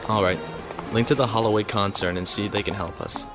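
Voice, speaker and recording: An actor playing a resourceful military lieutenant on the run in a science fiction audio drama. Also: male voice, on the low side, steady tone, fast talker, over music, natural voice. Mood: focused.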